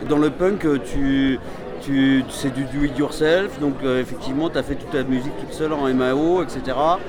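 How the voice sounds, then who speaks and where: A man's voice: medium pitch, moderate pace. A man interviewing a musician, asking hesitant questions with many fillers. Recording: noisy crowd in background.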